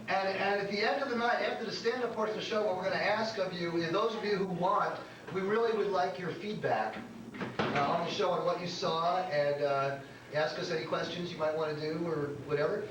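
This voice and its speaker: A man's voice: high-pitched, steady tone, fairly quick, background noise. A middle-aged man hosting a comedy show, rambling and joking as he introduces it informally to a live audience. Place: comedy club stage. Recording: echoey club recording from far off. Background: audience responses.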